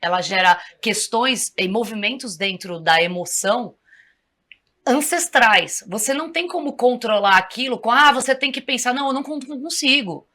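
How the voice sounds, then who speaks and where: A woman's voice: on the high side, lively intonation, moderate pace, pushed and bright. A Brazilian woman who mentors in communication, explaining things as a guest on a talk show in a didactic, teacherly way. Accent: Brazilian Portuguese.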